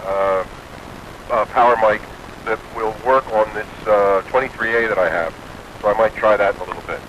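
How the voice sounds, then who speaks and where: A man's voice: lowish voice, fairly even intonation, normal speed. A man operating a two-way radio, talking casually on a stock microphone as he checks his signal. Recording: noisy two-way radio transmission, stock mic.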